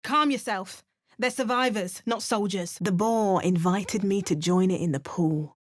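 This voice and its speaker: A wry adventurer played by a woman trades in dry, sarcastic asides and calm, even narration. Her voice sits at a middle pitch, recorded close and completely dry, with no room around it. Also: unhurried, no echo, close to an everyday voice. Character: sardonic adult female adventurer, wry and unflappable. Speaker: player character voice actor. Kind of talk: game voice lines, dry narration and quips.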